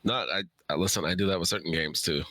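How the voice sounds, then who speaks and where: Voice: sits low, quick pace, bright, forceful tone. A streamer chatting casually, informal and emphatic in the way of talking. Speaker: streamer, host of a guest conversation. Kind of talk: casual chat.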